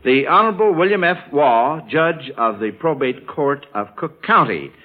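A man's voice: fairly high pitch, animated, normal speed, phone-quality sound. An American man hosting a quiz show in a scripted 1940s radio announcing style, on an old broadcast recording.

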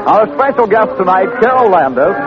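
A man's voice: high voice, very animated, moderate pace. A man announcing a comedy show in polished 1940s radio style. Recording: muffled 1940s radio, music behind.

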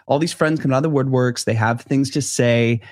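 A man's voice: medium pitch, brisk. A man co-hosting a gossip show in casual chat, with a conversational, skeptical way of talking. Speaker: co-host of a celebrity gossip show.

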